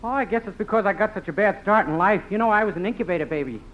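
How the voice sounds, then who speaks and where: A man playing a naive, silly young man, deadpan and literal, in a 1950s radio comedy. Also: high-pitched, rapid-fire, a little hiss or hum. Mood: innocent. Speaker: show singer and comic foil. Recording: muffled 1950s radio recording.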